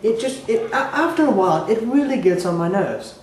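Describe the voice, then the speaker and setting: A man's voice: high voice, lively intonation, fairly quick, a little mellow. A man voicing an aggrieved, well-spoken Bigfoot being interviewed in a comedy sketch, plaintive and stammering. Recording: roomy echo, music clearly behind.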